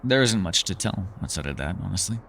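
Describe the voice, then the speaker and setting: Male actor as ancient hermit elf scholar: low, very expressive, formal, gently teasing, secretive; intimate fantasy roleplay. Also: creaky, slight background noise, exaggerated voice. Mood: wry, evasive. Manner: measured, formal phrasing, hesitant and softly teasing. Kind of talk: fantasy ASMR roleplay acting, intimate one-sided dialogue.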